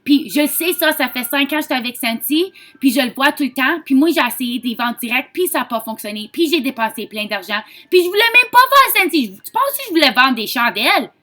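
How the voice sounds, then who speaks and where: A woman from Ontario with a Franco-Ontarian French accent, giving a chatty, informal sales pitch and mixing in English words. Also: animated, a little fast, few pauses, bright, forceful tone. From Ontario, Canada. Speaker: direct-sales consultant. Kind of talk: casual sales pitch to camera.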